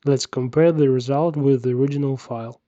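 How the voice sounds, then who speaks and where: A man narrating a scripted software tutorial, giving measured step-by-step instructions. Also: mid-range voice, fairly even intonation, no room sound, lo-fi sound.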